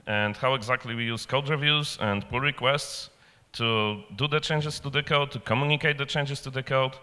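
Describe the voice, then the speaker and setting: A man's voice: mid-range voice, sharp tone. A man in his thirties with a Polish accent, introducing his talk to an audience into a handheld microphone. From Poland. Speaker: conference speaker, software developer. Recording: reverberant hall.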